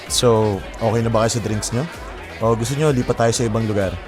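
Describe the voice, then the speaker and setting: An actor playing a confident, smooth-talking young bachelor in a Taglish audio drama, playful and persuasive. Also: male voice, fairly low pitch, fairly even intonation, brisk, lightly acted. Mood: friendly, courting. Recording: loud music and crowd bed behind. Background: music, crowd chatter.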